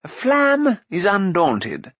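A man's voice: sits high, huge pitch swings, slow, fairly bright tone, husky, dry recording, voice pushed up, full character voice. A man voicing a talkative, undaunted bard in an audiobook, with a wry, theatrical manner. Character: jaunty, wordy, undaunted male bard.

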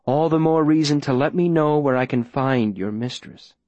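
A man playing a coldly menacing mayor in an audiobook, speaking in a measured, formal, falsely gentle way. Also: on the high side, dry recording, dull audio, natural voice. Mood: falsely reassuring. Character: smooth-talking, coldly menacing male mayor interrogating a captive.

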